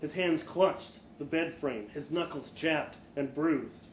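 A man with a slightly high voice reads fiction aloud at a live event. His delivery is expressive, with dramatic pauses and small stumbles. The room echoes noticeably and there is faint noise behind him. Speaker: story reader. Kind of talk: live dramatic short-story reading, first person.

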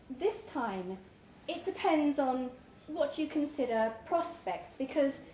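Slow, high-voiced woman reading a story. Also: darkish tone. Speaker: story reader. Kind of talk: scripted narration, live short-story reading. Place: pub, live reading event. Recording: echoey room, clear background noise.

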